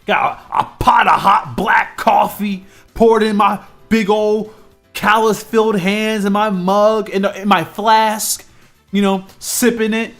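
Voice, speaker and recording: A man's voice: high voice, takes it slowly, creaky. Chatty twenties male streamer, music behind. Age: 20s. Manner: rambling, animated, frequent fillers and restarts. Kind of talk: casual live-stream chat while drawing.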